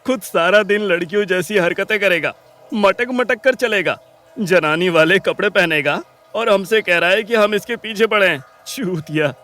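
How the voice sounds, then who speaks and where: High-pitched jeering young bully, played by a man. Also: moderate pace, sharp tone. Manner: sneering, gleeful, crude. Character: jeering young male college bully sidekick.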